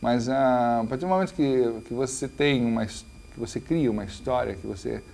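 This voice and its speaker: Gravelly-voiced Brazilian man in interview. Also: medium pitch, a little fast, darkish tone. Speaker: urbanist interviewee. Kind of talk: spontaneous interview talk.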